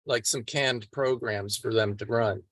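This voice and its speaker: A man contributing to a group teleconference in a halting, hesitant way. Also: medium pitch, fairly even intonation, a bit bright, no room sound. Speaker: meeting participant. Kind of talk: discussion on an online group call. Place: remote teleconference.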